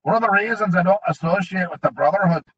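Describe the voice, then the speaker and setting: A jittery dwarf thief, as a man puts him on for an audiobook, trying to smooth things over in a raised, husky voice. The recording is dull and lo-fi. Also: sits high, quick pace, a bit bright, voice pushed up. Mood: nervous. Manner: nervous, ingratiating. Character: nervous male dwarf thief, placating.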